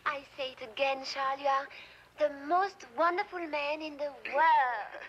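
A woman's voice: sits high, moderate pace, fairly bright tone, dull audio, a little hiss or hum. An actress playing a doting young French bride who gushes over her husband. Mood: adoring. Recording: old TV soundtrack with music and laugh track.

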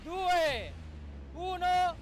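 A young man giving excited commentary on a boat race, counting down the starts and calling the action from a boat at sea. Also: very high-pitched, extremely slow, soft background music. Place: outdoors on a boat at sea, then a boat show stand.

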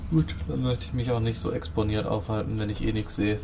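Male roleplay player, fast speech, somewhat low pitch, casual table talk. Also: fairly even intonation, noisy background. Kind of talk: casual in-game chat.

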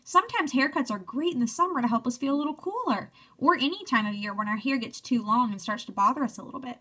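A young woman with a high-pitched voice reads a story for small children. Her delivery swoops up and down with lots of melody. She speaks simply and encouragingly, as a teacher does with early readers. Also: animated, quick pace, a bit bright. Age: young adult. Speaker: teacher reading aloud to children. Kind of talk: children's picture-book read-aloud with questions to listeners.

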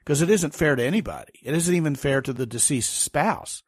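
A man's voice: on the high side, expressive, fairly quick, slightly rough, no room sound, clean studio sound. A man hosting a podcast, telling stories and joking in a casual interview chat. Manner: chatty, storytelling, joking. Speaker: podcast host.

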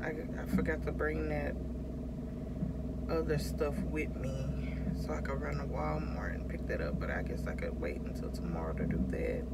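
American woman chatting over loud car noise. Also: low-pitched, moderate pace. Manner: informal, thinking out loud. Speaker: vlogger. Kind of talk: casual rambling chat to viewers. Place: inside a moving car. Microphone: camera mounted on dashboard, at a distance. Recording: noisy car interior, distant mic. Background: car and road noise.

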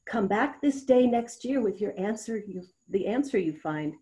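A woman's voice: mid-range voice, expressive, moderate pace, mellow, natural voice. A woman playing a stern, commanding queen in a verse readers' theatre piece, speaking formally and with authority. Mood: threatening. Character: imperious queen, stern, commanding, passing judgment on a youth. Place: indoors at home on a video call.

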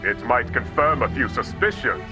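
An actor playing a cold, condescending male corporate villain who makes quiet threats, in an audio drama. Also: medium pitch, very animated, moderate pace, music in the background, close to an everyday voice. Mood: menacing. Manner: smooth, condescending, coolly threatening.

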